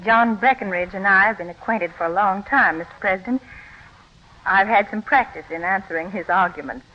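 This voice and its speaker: A woman's voice: medium pitch, fairly quick, lightly acted. Through a dull vintage radio recording comes a poised nineteenth-century lady , played by an actress. She speaks in formal, carefully composed sentences, a shrewd legal mind in a period radio play. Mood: earnest, assured. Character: poised, shrewd 19th-century Southern lady lawyer and secret adviser. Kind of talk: 1940s radio drama acting.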